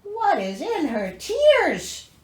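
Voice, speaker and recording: A woman's voice: extremely high pitch, wildly swooping pitch, very slow. Lady reading a fairy tale all sarcastic and dramatic, voice swoops around, echoey room. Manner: sardonic, theatrical, incredulous. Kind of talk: storybook reading with sarcastic comic asides. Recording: echoey, roomy sound.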